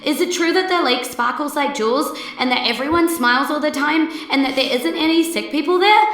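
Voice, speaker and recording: Sweet little girl writing to granny, high and eager, brightly lifted as a woman reads her part. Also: a little fast, reverberant, distant mic. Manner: eager, breathless letter reading.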